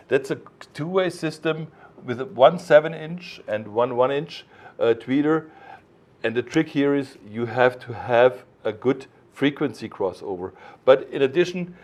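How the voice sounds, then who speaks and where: A man with a mid-pitched voice talks through technical details like a designer presenting his own work. His delivery is informal but didactic, with halting phrases and firm emphasis on key points. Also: darkish tone. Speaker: loudspeaker designer explaining his products.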